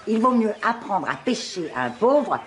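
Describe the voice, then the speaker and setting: An actress playing a proud, sharp-tongued older mother who bosses her grown son. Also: medium pitch, big pitch swings, normal speed, some chatter behind, lightly acted. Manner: bossy, snappish.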